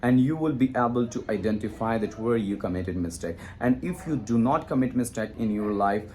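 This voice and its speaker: A man's voice: medium pitch, animated, fairly quick, darkish tone. A man who speaks English as a second language, hosting his own channel and giving earnest, encouraging advice to English learners, repeating himself and saying "you know" often. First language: not English. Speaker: English teacher and channel host. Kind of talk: motivational talk to camera.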